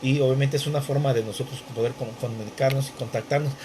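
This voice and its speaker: Casting note: man leading a home Bible study, halting delivery with lots of restarts, mid-range voice, faint air-con hum behind. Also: mid-range voice, fairly even intonation. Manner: halting, many restarts and fillers. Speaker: Bible teacher. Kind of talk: conversational Bible study intro. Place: bedroom at home. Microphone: wearing headphones.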